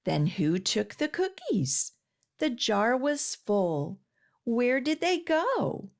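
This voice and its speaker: A woman hosting a children's storytime, reading aloud with deliberate, expressive, sing-song phrasing. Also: on the high side, gravelly. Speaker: children's storytime host reading picture books.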